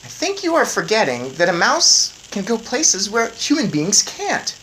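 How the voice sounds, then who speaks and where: A woman's voice: medium pitch, wildly swooping pitch, a little slow, a bit bright, a little hiss or hum, voice pushed up, low-quality recording. A woman voicing a small, plucky boy turned mouse in a storybook, earnest and eager. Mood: correcting, earnest.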